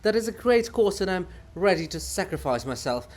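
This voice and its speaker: A man performs a living monument in a stage farce, vain and fanatical. The voice is pitched high and swings wildly as it declaims with pompous, theatrical grandeur. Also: sing-song, fairly quick. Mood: martyred grandeur. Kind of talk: dramatic reading of a stage farce.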